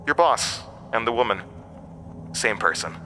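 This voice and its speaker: An actor playing an ancient monster, a persuasive, scornful tempter who speaks with theatrical, deliberate emphasis in a horror audio drama. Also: male voice, mid-range voice, lively intonation, bright, forceful tone, a little hiss or hum. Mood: sly, conspiratorial.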